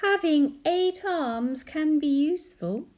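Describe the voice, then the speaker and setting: A woman's voice: high voice, lively intonation, slow, a little mellow, pitched above the performer's own voice. A woman voicing a cheerful, doting mother octopus in a storybook, giggly and sing-song. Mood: playful.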